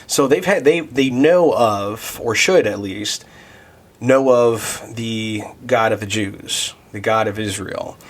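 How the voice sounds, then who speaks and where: A man's voice: lowish voice, slow. A man in his thirties giving a casual devotional Bible teaching, thinking aloud with many restarts and fillers. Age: 30s.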